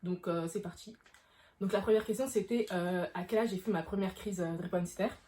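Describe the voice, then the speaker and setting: A woman's voice: medium pitch, fairly quick, dark tone. A woman, chatting informally to camera and explaining things, often rephrasing herself as she goes. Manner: informal, frequent self-rephrasing. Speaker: vlogger answering questions. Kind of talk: casual explanatory chat to camera.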